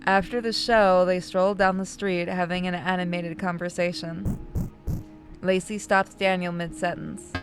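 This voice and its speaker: Lowish-voiced woman narrating over music. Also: flat delivery, normal speed, rough recording. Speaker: game streamer narrating. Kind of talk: read-aloud narration with casual asides.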